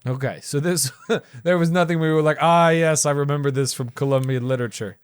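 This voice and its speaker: A man's voice: on the high side, fairly quick, clear, resonant tone, no room sound. Dry-sounding male podcast host, teasing. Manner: teasing, curious, conversational. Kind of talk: casual movie review chat. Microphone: podcast mic.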